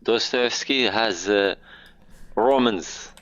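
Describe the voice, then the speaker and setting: Guest: man, deep low voice, slow halting English, dull call audio. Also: fairly bright tone, no echo. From Morocco. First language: Amazigh. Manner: halting, searching for English words. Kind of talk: casual chat.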